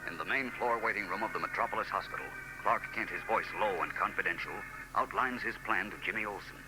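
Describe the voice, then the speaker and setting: Dramatic 1940s radio serial narrator. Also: male voice, on the low side, fast talker, low-quality recording. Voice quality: dramatic, urgent. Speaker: narrator and announcer. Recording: old radio broadcast with loud music.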